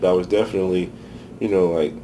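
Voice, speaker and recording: A man's voice: deep voice, fairly even intonation, quick pace, mellow, slight background noise, rough recording. A man from Long Island, an actor, talking casually in an interview. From Long Island, New York. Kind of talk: casual behind-the-scenes interview.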